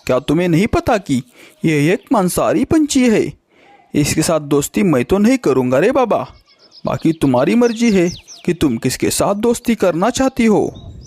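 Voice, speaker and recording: A man's voice: sits high, big pitch swings, moderate pace, a little mellow, no room sound. A man voicing a blunt, wary male crow in a children's cartoon story, disbelieving and warning. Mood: alarmed, disapproving.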